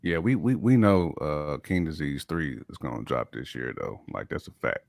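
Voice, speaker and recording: A man's voice: rumbling low, animated, normal speed, creaky, a little mellow, no room sound, clean studio sound. An American man co-hosting a casual hip hop discussion, talking in emphatic slang. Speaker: podcast host.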